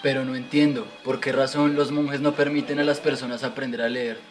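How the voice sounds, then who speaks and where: Inquisitive young student played by a man, medium-pitched, scripted questioning, educational radio drama over clear music. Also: flat delivery, fairly quick, close to an everyday voice. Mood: curious. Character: curious, easily impressed young male pupil. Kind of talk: amateur educational radio play.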